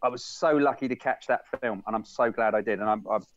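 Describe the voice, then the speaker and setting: A man's voice: fairly low pitch, quick pace, no room sound. Co-host guy rambling over a video call, repeats himself a lot, dull dry sound. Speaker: podcast co-host. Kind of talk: casual video-call chat.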